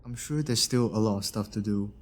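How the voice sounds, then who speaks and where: A man's voice: lowish voice, fairly quick, lightly acted. A man playing a kindly, protective engineer and inventor in an audio roleplay, talking in a casual, reassuring way.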